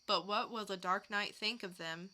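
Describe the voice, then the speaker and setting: A woman co-hosting a podcast, reading out scripted teasers in a measured way. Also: medium pitch, noisy background. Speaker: podcast co-host.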